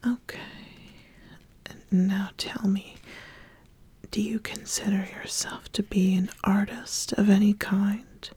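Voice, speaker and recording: Woman playing a slow, whispering witch. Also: mid-range voice, flat delivery, slightly rough. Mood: calm, probing. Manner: soft-spoken, halting, deliberate questioning. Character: ambitious witch calmly sizing up an apprentice. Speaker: ASMR roleplay host. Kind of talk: whispered ASMR roleplay.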